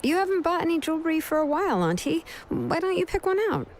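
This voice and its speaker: A woman's voice: extremely high pitch, big pitch swings, fast talker, a little mellow, a little hiss or hum. A woman playing a perceptive, laid-back young craftswoman in a video game, casual and composed. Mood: knowing, casual.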